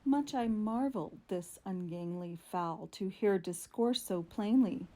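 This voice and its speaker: A woman giving a dramatic, deliberate recitation of gothic poetry, with drawn-out phrasing and strong emphasis. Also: medium pitch, mellow. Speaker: poetry reader.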